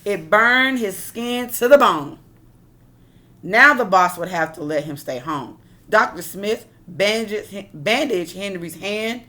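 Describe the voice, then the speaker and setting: A woman's voice: medium pitch, lively intonation, takes it slowly, pushed and bright. A woman reading a picture book aloud like a teacher, expressively, with casual asides that explain and question her listeners.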